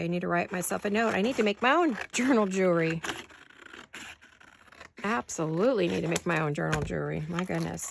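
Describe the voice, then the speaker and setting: A woman with a sore throat chatting casually to viewers while she crafts at home, thinking out loud as she works. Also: lowish voice, vocal fry, background noise. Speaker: craft vlogger. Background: scissors and crafting clicks.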